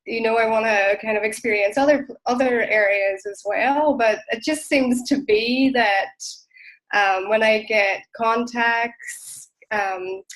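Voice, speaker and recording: A woman's voice: a little high, fairly even intonation, takes it slowly, a bit bright, low-quality recording. A young-sounding Irish woman, a podcast guest, chatting casually over a video call, full of likes and ums. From Ireland. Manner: hesitant, lots of likes and ums. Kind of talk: casual interview chat.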